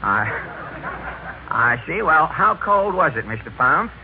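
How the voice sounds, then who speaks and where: A man's voice: on the high side, big pitch swings, slow. A man acting as interviewer and straight man in a 1940s radio comedy. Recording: noisy narrow-band old radio recording.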